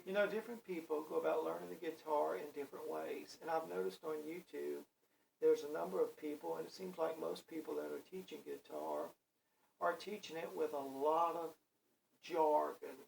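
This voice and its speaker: A man's voice: fairly high pitch, steady tone, normal speed, creaky, a little mellow. A man in his sixties giving a casual guitar lesson, talking in a rambling, folksy way with many fillers. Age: 60s. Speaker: guitar teacher. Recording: voice a bit far from the mic, faint background noise.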